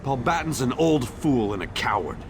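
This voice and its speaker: A man's voice: medium pitch, lively intonation, moderate pace, noisy background, natural voice. A man voicing a bitter, defiant younger man in a fantasy game, blunt and scornful in manner. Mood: scornful, determined. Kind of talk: AI-voiced fantasy game dialogue.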